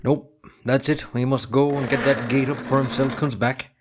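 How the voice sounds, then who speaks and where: A reticent young Irishman , acted by a man, with a medium-pitched voice. He is a farmer's son in drama dialogue, secretive and guarded. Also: fairly even intonation, moderate pace, background noise, close to an everyday voice. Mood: guarded, stubborn.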